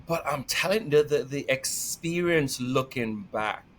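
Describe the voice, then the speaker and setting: A man with a Jamaican accent, a guest telling lively stories in conversation and laughing often. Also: medium pitch, unhurried, slight background noise. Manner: energetic, animated, laughs often. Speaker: podcast guest. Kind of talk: storytelling conversation.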